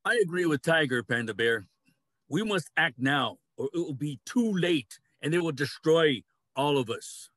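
A man's voice: on the high side, animated, normal speed, fairly bright tone, no room sound. Older man acting a grave, dry-sounding council animal. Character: grave animal council member siding with hunters. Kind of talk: dramatic play reading. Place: cluttered home room, video call.

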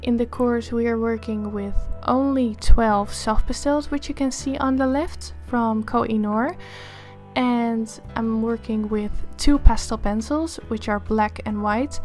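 Hoarse upbeat woman with Dutch accent. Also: fairly high pitch, steady tone, measured pace, darkish tone, soft background music. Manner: chatty and upbeat. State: hoarse, losing her voice from a cold. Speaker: art tutorial creator. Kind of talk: casual voice-over for a drawing time lapse.

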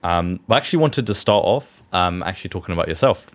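A young man hosting a podcast, introducing a guest in an enthusiastic but hesitant way with many fillers, in a small studio on a boom mic. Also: animated, fast talker. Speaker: podcast host. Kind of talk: casual podcast interview. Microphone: studio mic on boom arm.

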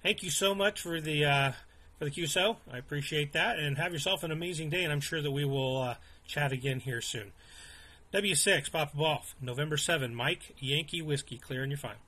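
A man with a slightly high voice chats casually as an amateur radio operator. He is polite and appreciative, speaking in a relaxed exchange over the air from a room at home. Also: fairly quick, slightly rough. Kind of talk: casual radio contact chat.

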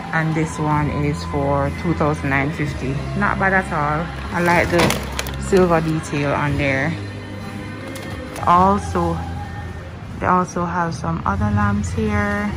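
A woman's voice: low-pitched, rough recording. A young woman vlogger from Jamaica, chatting casually as she browses a home decor store, with lots of ums and likes. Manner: relaxed, musing, many ums and likes. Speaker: lifestyle vlogger. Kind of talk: casual store tour voice-over. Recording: music playing under the voice. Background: background music.

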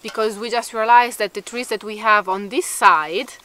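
A woman's voice: medium pitch, steady tone, normal speed, background noise. A woman in her thirties, a native Italian speaker, talking upbeat and enthusiastic to camera in an outdoor olive grove. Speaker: vlogger, homesteader. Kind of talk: casual vlog talk to camera.

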